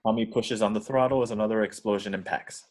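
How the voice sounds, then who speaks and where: A man's voice: lowish voice, fairly even intonation, fast talker. A man narrating screenplay action lines at a table read. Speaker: reader of stage directions.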